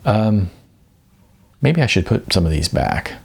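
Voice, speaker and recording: Naive young male newcomer played by a male narrator, eager but hesitant, gravelly and husky, audiobook dialogue. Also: on the low side, very animated, heavily acted. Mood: uneasy. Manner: hesitant, with stammers and pauses.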